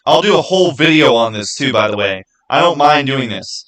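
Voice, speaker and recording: A man hosting a livestream in casual chat, speaking haltingly and putting rhetorical questions to his viewers. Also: medium pitch, expressive, fairly bright tone. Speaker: livestream host.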